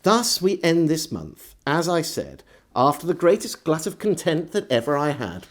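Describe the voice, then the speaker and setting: Expressive storyteller, man, lively swooping pitch. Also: moderate pace. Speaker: storyteller reading a historical diary aloud. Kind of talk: dramatised audiobook narration of a 17th century diary.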